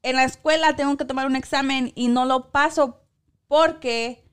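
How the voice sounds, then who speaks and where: High-voiced chatty woman. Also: moderate pace. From Chicago. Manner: code-switching, animated, laughs often. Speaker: co-host, school translator. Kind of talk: casual chat.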